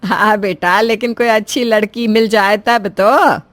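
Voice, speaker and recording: Woman as protective mother, middle-aged, earnest, fretful, medium pitch, dry storybook recording. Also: fairly even intonation, unhurried, no room sound, lightly acted. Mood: resigned. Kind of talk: Hindi family story voice-over.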